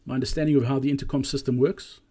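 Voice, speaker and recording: A man narrating a walkthrough presentation in a measured, explanatory way. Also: medium pitch, level tone, mellow.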